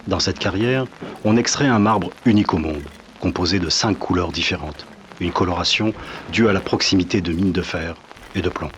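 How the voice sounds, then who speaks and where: Deep male scene-setting narrator. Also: moderate pace, fairly bright tone, a little hiss or hum. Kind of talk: scripted documentary-like drama narration.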